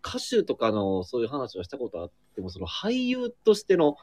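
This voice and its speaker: A man in casual, reflective group chat, speaking haltingly as he searches for his words. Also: medium pitch, wildly swooping pitch, dry recording. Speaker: acting student, guest in a group chat.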